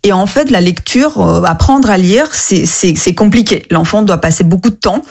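A woman speaking French as a second language, answering a radio interviewer with earnest, halting phrases. She restarts her sentences often, her pitch sitting in a middle range. She is heard through a studio microphone. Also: a little mellow, slightly rough. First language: not French. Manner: earnest, hesitant, many restarts. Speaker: app co-founder, radio guest.

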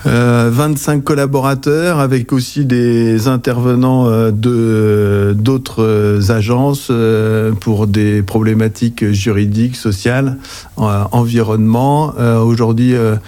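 A man in his forties from western France, with a French accent, giving informative interview answers as a company director in a very hesitant, halting way. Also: mid-range voice, fairly even intonation, mellow. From Anjou, western France. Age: 40s. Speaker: accounting agency director, interview guest. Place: interview on site in an office.

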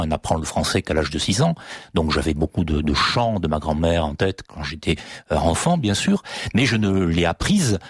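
A man's voice: low-pitched, breathy edge, dry recording, clean studio sound. A man from the south of France speaking as a guest in a discussion, often stumbling and restarting. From Rouergue, southern France. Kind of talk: conversational discussion.

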